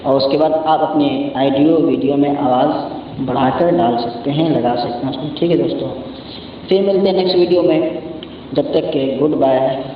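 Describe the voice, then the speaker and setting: Male tutorial host, casual step-by-step delivery, slightly high voice, muffled recording with clear background noise. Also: fairly even intonation, moderate pace. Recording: echoey, muffled, distant mic, noisy background.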